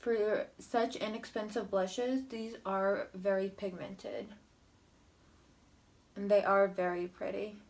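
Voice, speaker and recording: A young woman talking through her makeup routine in a slow, rambling way. She is sniffly from a cold. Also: medium pitch, flat delivery, vocal fry, a little mellow. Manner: slow, rambling, filler-heavy commentary while applying makeup. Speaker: beauty vlogger. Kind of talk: makeup tutorial chat.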